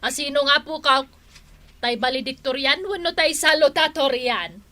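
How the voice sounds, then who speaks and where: A woman's voice: high-pitched, normal speed, sharp tone. A woman playing a doting elderly mother and grandmother in a radio drama, fussing and exclaiming with an Ilocano Filipino accent. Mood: delighted, indulgent.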